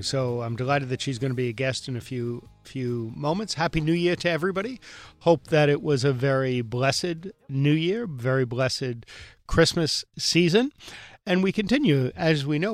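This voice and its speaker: A middle-aged male radio host from New York with a fairly high voice, chatty and enthusiastic, rambling loosely between points. His voice sounds dry and close, with no room echo. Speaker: radio talk show host and priest. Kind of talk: casual radio interview.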